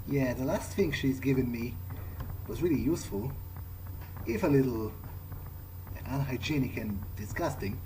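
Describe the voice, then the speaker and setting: A man giving in-character video game commentary, thinking aloud in a halting, musing way. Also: medium pitch, a little mellow, slight background noise, rough recording. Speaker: gamer doing an in-character playthrough. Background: game music.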